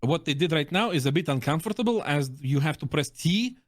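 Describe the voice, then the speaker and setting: A man's voice: a little high, lively intonation, fairly quick. A man who is a native Russian speaker, reviewing game voice lines in a casual, chatty and jokey reaction conversation.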